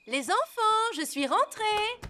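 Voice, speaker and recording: A woman's voice: very high-pitched, big pitch swings, slow pace, exaggerated voice. A woman voicing an affectionate mother of young children in a cartoon. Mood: tender. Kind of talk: French-language cartoon dub. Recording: music behind the voice.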